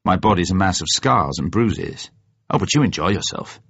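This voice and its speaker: A male narrator acting a gruff but sentimental wounded man in a novel. He speaks low and clipped, with a wry restraint. The recording is dull and roomy. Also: normal speed, heavily acted. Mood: bitter.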